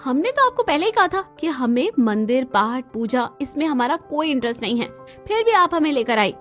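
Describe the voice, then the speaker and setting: Woman playing a brash, high-pitched young daughter-in-law. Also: sing-song, fairly quick. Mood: rude, whining. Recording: dull lo-fi sound with music behind.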